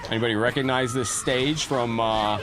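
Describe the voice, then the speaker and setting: A man's voice: mid-range voice, fairly even intonation, moderate pace. A man hosting a live gaming stream, chatting and greeting viewers by name while he plays, his voice a little scratchy from a cold. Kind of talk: casual gameplay commentary, greeting chat. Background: game music.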